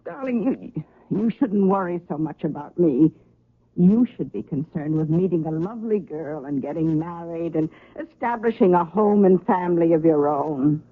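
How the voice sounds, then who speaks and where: An actress playing an older, doting mother with a husky voice, coddling and tender in a radio drama. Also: fairly low pitch, animated, normal speed, dry recording. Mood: soothing. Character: doting, soothing mother of a grown son. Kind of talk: 1970s radio drama acting. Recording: tinny narrow-band radio recording.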